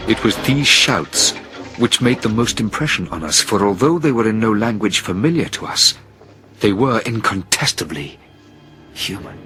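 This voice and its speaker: Guy reading an audiobook, lowish voice, dramatic and suspenseful, deliberate phrasing, music underneath. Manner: theatrical, suspenseful, measured delivery with deliberate phrasing. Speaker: audiobook narrator. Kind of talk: dramatic first-person story narration.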